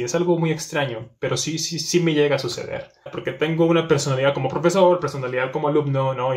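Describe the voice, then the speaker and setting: A young man with a somewhat high-pitched voice talks straight to the camera in a small indoor room. He speaks casually and reflectively, often filling gaps and restarting sentences as he searches for words. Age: young adult. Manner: thinking aloud with many fillers and restarts. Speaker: language teacher and vlogger. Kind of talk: casual vlog talk to camera.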